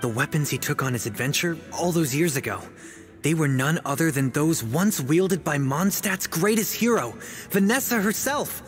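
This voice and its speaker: An actor playing an eager, naive young novice adventurer in a video game, earnest and wide-eyed. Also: male voice, a little high, expressive, normal speed, close to an everyday voice. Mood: confiding. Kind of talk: English video game voice acting. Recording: background music under dialogue.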